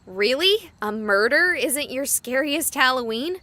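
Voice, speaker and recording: Bubbly high-voiced young streamer woman. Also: expressive, unhurried, bright, forceful tone, no room sound, full character voice. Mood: teasing. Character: young female game streamer, bubbly, skeptical, exasperated. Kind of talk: visual novel voice acting.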